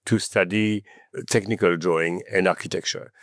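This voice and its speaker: A man with a French accent, French his first language, talking as a podcast guest in an animated storytelling manner, often adding "okay?" and "you know". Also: low voice, moderate pace, dry recording, studio quality. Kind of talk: conversational interview.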